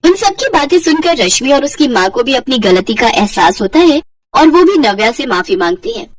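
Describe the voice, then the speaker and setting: High-pitched woman story narrator. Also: sing-song, moderate pace. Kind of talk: scripted moral story narration.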